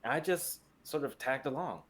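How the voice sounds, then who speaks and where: A man's voice: mid-range voice, animated, moderate pace, fairly bright tone, breathy edge. A young man playing an optimistic, easygoing store worker in a dramatic script reading. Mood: shaken, uneasy. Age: young adult.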